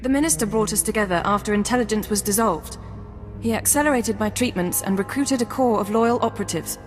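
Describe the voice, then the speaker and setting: An actress playing a precise, determined intelligence handler, speaking in a controlled, businesslike way in a video game. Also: female voice, medium pitch, brisk, in a large hall, soft background music, natural voice. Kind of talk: video game drama acting.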